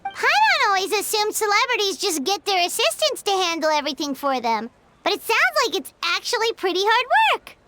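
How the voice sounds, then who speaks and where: A squeaky little fairy mascot for a game, childlike and cute, sky high and bouncy. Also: female voice, very animated, brisk. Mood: curious, musing. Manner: cute, speaks of self in third person. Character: tiny, childlike, excitable female fairy companion. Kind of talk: video game voice acting. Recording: loud background noise.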